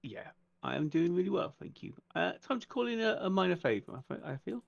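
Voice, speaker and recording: Man playing a gravelly, courteous vampire. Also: sits high, big pitch swings, highs cut off, full character voice. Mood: polite, calculating. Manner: measured, formal, deliberate. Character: courteous, businesslike vampire making a phone call.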